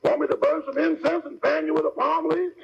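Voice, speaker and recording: A man playing a cheeky, wisecracking valet with a gravelly voice in a 1940s radio comedy. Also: very high-pitched, fairly even intonation, brisk, full character voice. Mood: cheeky, obliging. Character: cheeky, wisecracking male valet to a vain boss. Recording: old lo-fi radio comedy recording, faint noise.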